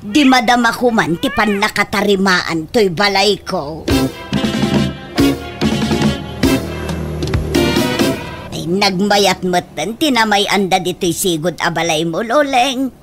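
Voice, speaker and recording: An actress playing an envious, scheming, gossipy village woman in an Ilocano comedy radio drama, with exclamatory, drawn-out theatrical reactions. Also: medium pitch, expressive, loud music behind the voice, lightly acted.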